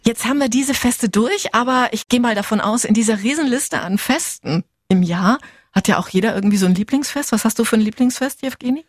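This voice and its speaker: A woman's voice: a little high, brisk, dry recording, studio quality. A woman interviewing guests, asking questions in a friendly, inquisitive way.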